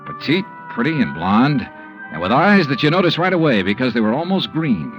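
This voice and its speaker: A man's voice: mid-range voice, sing-song, brisk, close to an everyday voice. An actor playing a wry, weary insurance investigator who narrates his own case in a 1950s radio drama. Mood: narrating. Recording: muffled old radio broadcast with music.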